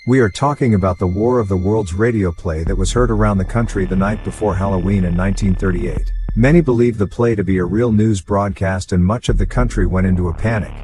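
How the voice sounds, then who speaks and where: A man's voice: sits low, a little fast, hardly any pauses, music in the background, poor audio. An American man reading scripted documentary narration as the narrator of a local history program.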